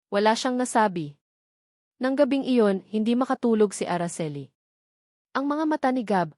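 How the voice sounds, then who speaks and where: A woman's voice: mid-range voice, darkish tone, no echo, studio quality. A Filipino woman reading a scripted romance story as a dramatic narrator.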